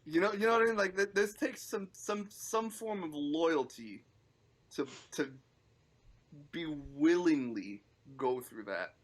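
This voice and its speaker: A man's voice: fairly high pitch, measured pace. A man around thirty chatting casually about sports on a podcast, informal and emphatic in his banter. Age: 30s.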